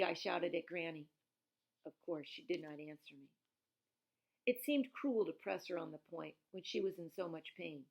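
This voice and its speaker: A woman's voice: fairly low pitch, vocal fry. A woman reading a children's novel aloud expressively, in the manner of a teacher who explains things plainly. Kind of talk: expressive read-aloud storytelling with informal asides.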